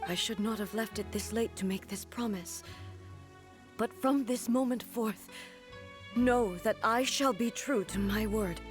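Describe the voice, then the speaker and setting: A woman's voice: fairly high pitch, faint music behind, lightly acted. Woman acting a solemn, regal immortal ruler. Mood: moved, resolute. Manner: formal, measured, earnest. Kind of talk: dramatic anime game voice acting.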